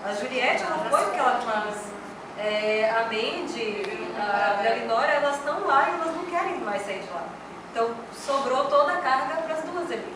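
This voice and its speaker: A Brazilian woman talking informally in a group discussion. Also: on the high side. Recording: very echoey, distant mic, room noise.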